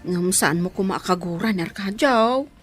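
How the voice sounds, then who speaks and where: A woman with an Ilocano accent playing a nosy, kindly household confidante in a radio drama, prying and expressive. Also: medium pitch, a little slow, soft background music, natural voice. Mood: coaxing.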